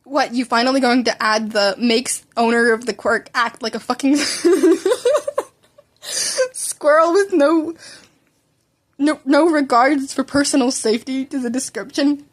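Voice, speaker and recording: Woman doing grumpy mouthy teen boy from a story, high voice, blunt and sarcastic. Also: measured pace. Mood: mocking. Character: brash, irritable, foul-mouthed teenage boy rival.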